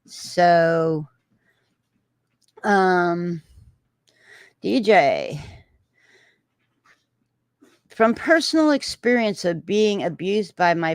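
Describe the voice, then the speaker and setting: A Texan woman chats her way through a livestream, pausing often on filler sounds and restarting her sentences. Her voice sits somewhat low and unhurried, recorded close and dry with no room sound. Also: no room sound. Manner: rambling, many "um"s and restarts. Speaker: livestream host reading viewer comments. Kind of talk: casual livestream chat.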